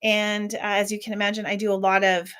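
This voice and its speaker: A woman of medium pitch talking her way through a casual product review on her channel. She rambles and restarts as she thinks aloud. Her voice has a slight squeak to it today. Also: little pitch movement, brisk, a bit bright, clean tone. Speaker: worship flag maker and channel host.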